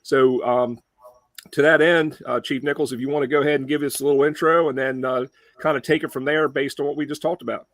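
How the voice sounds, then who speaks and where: Chatty host, dry close sound. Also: male voice, medium pitch, fairly even intonation, fairly quick, no room sound. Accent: Mid-Atlantic Delmarva. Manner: chatty, many fillers. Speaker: webcast host. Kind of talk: casual webcast hosting.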